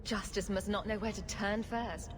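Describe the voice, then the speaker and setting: An actress playing a quick-witted, sarcastic rogue adventurer in a video game, cool-headed and glib. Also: female voice, mid-range voice, fairly even intonation, background noise, lightly acted. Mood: measured. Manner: wry, glib. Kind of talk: dramatic game dialogue. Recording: music behind the voice.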